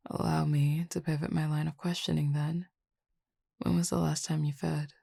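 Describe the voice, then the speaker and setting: A woman voicing a composed, formal supernatural cupid roommate who speaks in precise, measured words and teases gently. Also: low-pitched, level tone, vocal fry, husky, dry recording, clean studio sound, full character voice. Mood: calm. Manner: precise, formal wording, measured and unhurried, soft asides. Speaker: voice actor in an audio roleplay. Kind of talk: audio roleplay addressed to the listener.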